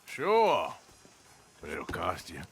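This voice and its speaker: An actor playing a laconic, drawling, reluctant American outlaw cowboy in a video game cutscene. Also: male voice, low voice, huge pitch swings, takes it slowly, a little hiss or hum, exaggerated voice. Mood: wry, reluctant. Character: gruff, wry outlaw cowboy running errands.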